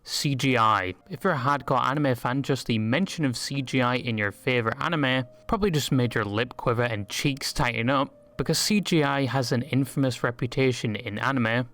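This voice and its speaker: A man's voice: medium pitch, normal speed, hardly any pauses, a bit bright. A man reading scripted narration for a video essay.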